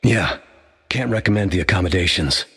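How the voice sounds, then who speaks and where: A sardonic soldier with a metal arm. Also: male voice, deep voice, lively intonation, fairly quick, pushed and bright, big-room sound, exaggerated voice. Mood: dry. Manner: dry, sardonic quips. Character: wry battle-worn male soldier with a metal arm. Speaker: video game hero.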